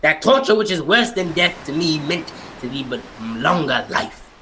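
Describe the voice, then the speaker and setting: A man's voice: on the high side, sing-song, moderate pace, sharp tone, slight background noise, low-quality recording. A man playing a bitter, cursed ghost ship captain in declamatory old-time radio melodrama. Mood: bitter, scornful. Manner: declamatory, anguished, vengeful.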